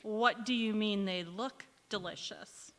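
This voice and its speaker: A woman's voice: medium pitch, unhurried, natural voice. A woman playing a supportive, wry housewife friend in old-time radio sitcom style, coaxing and bossy. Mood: prodding. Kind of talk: golden-age radio sitcom acting on stage. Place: large indoor hall, live stage performance. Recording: echoey hall, community theatre stage.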